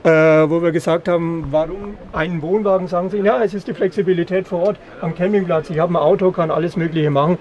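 A middle-aged man explaining product features in an interview, slightly halting and repeating words. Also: high voice, steady tone, a little mellow, dull audio. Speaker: caravan company representative. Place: caravan at an indoor trade fair. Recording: clear background noise of busy trade fair.

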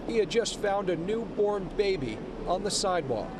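A man's voice: sits high, lively intonation, moderate pace. Young male reporter on a noisy street. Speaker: field reporter. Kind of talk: live news report. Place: city sidewalk outdoors. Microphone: lapel mic. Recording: street traffic noise behind.

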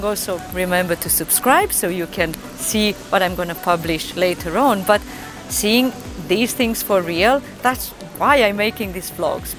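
A woman's voice: medium pitch, low-quality recording. A woman in her thirties talking casually to the camera as a travel vlogger, upbeat and chatty, with the odd hesitation, outdoors in a city.

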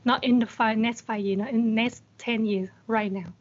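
Young woman speaker, slightly high voice, conversational and questioning. Somewhat dull video-call audio. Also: steady tone, moderate pace. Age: young adult. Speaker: guest speaker. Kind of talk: conversational motivational talk. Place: indoors on a group video call.

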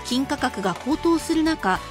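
A woman with a high, very lively voice delivers a radio shopping pitch. She is emphatic and spells out key figures carefully. Music plays clearly behind her. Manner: emphatic, slowing to spell out prices and numbers. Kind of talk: live radio sales pitch. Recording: music bed under the voice.

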